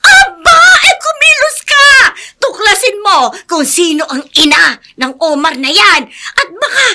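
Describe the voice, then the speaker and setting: An actress playing a meddling, scheming older neighbor with an excitable, insinuating manner in a Filipino radio drama. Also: female voice, extremely high pitch, unhurried, raised voice, heavy background noise, exaggerated voice. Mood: indignant, agitated.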